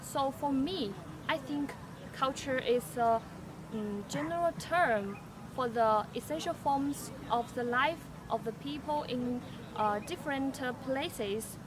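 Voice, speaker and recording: A young woman, a native Chinese speaker, giving slow, careful answers with many fillers. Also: sits high. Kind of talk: prepared answers for a language project. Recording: street noise behind.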